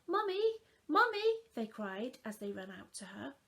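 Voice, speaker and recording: A woman reading a children's story aloud in a deliberate, expressive storytelling manner. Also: medium pitch, vocal fry.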